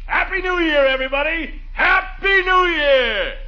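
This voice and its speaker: An actor playing a jovial, blustering small-town American bachelor in 1940s radio comedy, hearty and pompous. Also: male voice, extremely high pitch, animated, unhurried. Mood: boisterous. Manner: pompous, hearty, mock-grand declaiming and chuckling. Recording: muffled, noisy 1940s radio recording.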